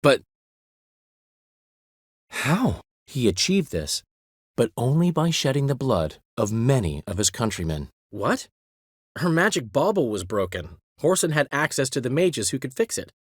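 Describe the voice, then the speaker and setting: A man's voice: medium pitch, big pitch swings, unhurried, slightly rough, dry recording, studio quality. An actor playing a flamboyant, vain and witty middle-aged male bard in a video game, teasing and theatrical with a showman's flourish. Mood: wry. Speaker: game character. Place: recording studio. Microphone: close studio mic.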